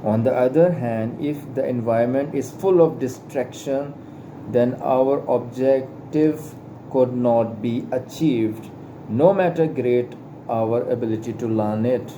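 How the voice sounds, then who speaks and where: A man whose first language is not English reads aloud from a spiritual book at a slow, deliberate pace. He stumbles and repeats words as he works through the text. A clear air-conditioner hum runs behind his voice. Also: mid-range voice. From Pakistan. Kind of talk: slow careful reading aloud.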